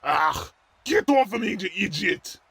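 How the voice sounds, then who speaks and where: A man's voice: high voice, very animated, a little slow, gravelly, fairly bright tone, slight background noise, full character voice. A man voicing a blustering, indignant, hot-tempered Celtic golf warrior in a cartoon fight show. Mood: furious. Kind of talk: animated fight-show character acting.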